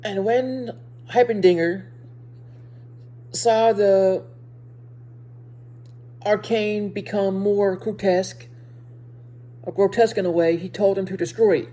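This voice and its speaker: A woman with a soft-edged, mellow voice reviews a show casually for her viewers. She has a speech impediment and moves haltingly through her sentences, often circling back to repeat or reword a phrase. Her pitch sits in the middle range for a woman. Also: steady tone. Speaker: video reviewer.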